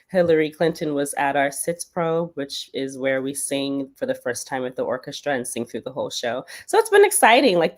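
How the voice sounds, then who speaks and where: A Bermudian woman with a Bermudian accent, a Broadway performer talking casually as an interview guest, animated and often searching for words with fillers. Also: sits low. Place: lives in New York.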